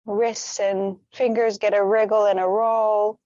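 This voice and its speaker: Slow-paced woman guiding meditation. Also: mid-range voice, little pitch movement, no room sound, highs cut off. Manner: gentle, unhurried, soothing instruction. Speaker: meditation guide. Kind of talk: guided meditation with gentle stretches.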